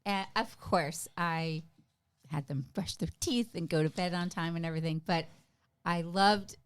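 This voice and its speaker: A woman's voice: on the low side, a little slow, clean tone. A middle-aged woman telling stories casually as a podcast guest, chatty and emphatic with an enthusiastic way of talking. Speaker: podcast guest, stand-up comedian. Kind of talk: casual conversational storytelling.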